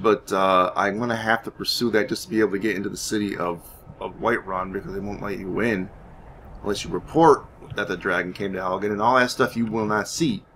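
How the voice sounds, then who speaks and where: A man's voice: on the low side, a bit bright, slight background noise. A man giving casual, rambling game commentary, thinking aloud hesitantly as he plays. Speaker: gaming YouTuber narrating his playthrough.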